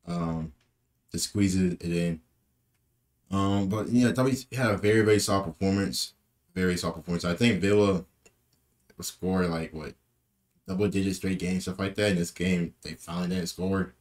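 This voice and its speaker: A man's voice: low voice, fairly even intonation, moderate pace, pauses often. A young man giving a casual, rambling post-match football reaction, full of fillers and repeated words, from a small room. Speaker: football fan vlogger.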